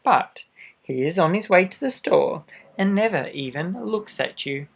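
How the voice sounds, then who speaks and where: A man reading a children's storybook aloud expressively, adding gentle asides for young listeners. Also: high voice, animated, a little slow, vocal fry, a little hiss or hum. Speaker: storybook reader. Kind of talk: children's picture book read-aloud.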